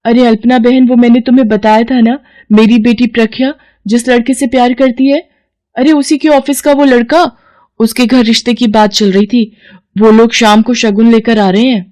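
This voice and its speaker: A woman's voice: fairly high pitch, steady tone, moderate pace, a little mellow, pitched above the performer's own voice, close to an everyday voice. A woman voicing a flustered, anxious middle-aged neighbour in a family story.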